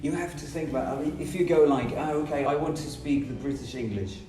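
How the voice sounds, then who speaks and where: A man's voice: on the high side, fast, warm tone. A man from Brazil, an English teacher explaining his points emphatically in short repeated phrases on a podcast. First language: Portuguese. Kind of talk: podcast conversation. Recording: roomy, distant mic, music behind.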